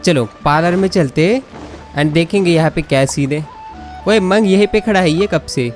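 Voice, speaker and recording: A woman does informal gameplay commentary. She talks at a racing pace in a somewhat low voice. Game music plays behind her. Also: very fast. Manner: chuckles often, reacts informally. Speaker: gaming video creator. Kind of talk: casual gameplay commentary in mixed Hindi and English.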